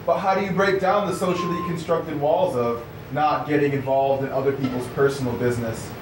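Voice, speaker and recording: A man's voice: on the high side, fairly quick. A man delivering a scripted monologue in a live stage performance. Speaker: puppet show performer. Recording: roomy, distant live recording with noise.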